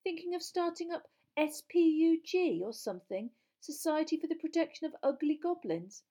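A woman's voice: medium pitch, brisk, dark tone, pitched above the performer's own voice, close to an everyday voice. A woman reading a storybook as a sardonic teenage boy, blunt and mocking, the teasing sidekick. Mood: sarcastic, teasing.

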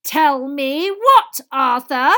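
A woman voicing a stern, suspicious and bossy mother witch in a storybook, with a sharp, demanding manner. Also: high voice, expressive, a little slow, pitched above the performer's own voice, exaggerated voice. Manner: sharp, demanding, dangerous-sounding.

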